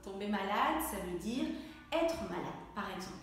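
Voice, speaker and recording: A woman teaching a language lesson, speaking slowly and clearly, acting out coughs and sneezes and encouraging the viewer directly. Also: mid-range voice, animated. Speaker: French teacher. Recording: very echoey room, voice from far off the mic.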